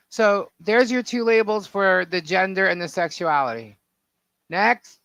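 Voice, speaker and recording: A woman with a deep voice co-hosting a casual podcast, talking emphatically and at length. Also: a bit bright, no room sound. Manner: emphatic, talks at length, repeats herself. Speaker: podcast co-host. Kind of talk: casual opinionated chat.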